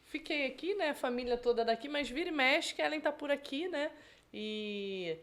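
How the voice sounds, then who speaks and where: A Brazilian woman with a Rio de Janeiro accent talks casually as an interview guest. Her pitch is somewhat high, and she speaks in an informal, conversational style. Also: lively intonation, normal speed. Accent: Rio de Janeiro Brazilian Portuguese. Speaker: podcast guest.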